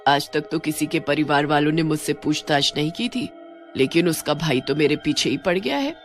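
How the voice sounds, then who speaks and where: A woman's voice: low voice, moderate pace, soft background music, pitched below the performer's own voice, lightly acted. A woman voicing a menacing, gloating witch who sells almonds and hunts people in a cartoon horror story. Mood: scheming.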